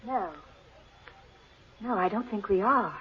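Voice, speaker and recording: A woman's voice: medium pitch, takes it slowly, lightly acted. An actress playing a practical but uneasy lady companion in an old-time radio horror drama. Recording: muffled vintage radio recording, music behind.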